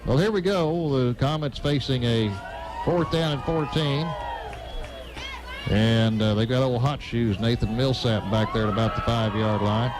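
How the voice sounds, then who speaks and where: A man's voice: mid-range voice, measured pace, a crowd in the background. A man doing live radio play-by-play commentary of a football game, broadcast from a stadium. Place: outdoor high school football stadium broadcast.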